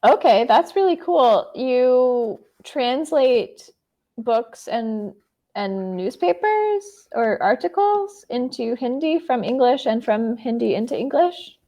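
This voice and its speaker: A woman's voice: fairly high pitch, animated, slow pace, mellow. A woman from the United States tutoring English in an online conversation over a video call, speaking encouragingly with frequent fillers.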